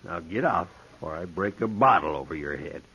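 An actor playing a gruff, loyal frontier bartender with blunt, folksy speech in a 1950s radio western. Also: male voice, sits low, animated, normal speed, pushed and bright, heavily acted. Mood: indignant, protective. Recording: dull 1950s radio recording.

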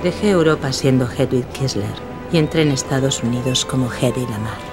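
An actress playing a self-assured, witty 1940s film star in a scripted audio drama, poised and seductive. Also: female voice, extremely low pitch, a little fast, over music. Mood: reminiscing, proud. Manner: poised, confident, seductive storytelling.

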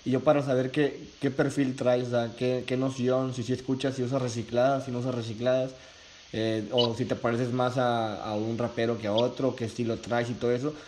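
A man's voice: mid-range voice, level tone, brisk, darkish tone, a little hiss or hum. A young man with a Mexican accent talking casually as the caller in a prank phone conversation, recorded in a small room from a few steps away. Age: young adult. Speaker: prank caller, video host. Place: small bedroom, indoors.

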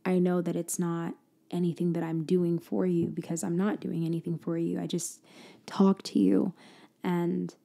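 A woman doing a girlfriend roleplay for ASMR, speaking softly and intimately, slipping in and out of a whisper and often trailing off mid-thought. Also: level tone, moderate pace, creaky. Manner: soft, intimate, drifting between low voice and whisper; hesitant, trailing off and restarting.

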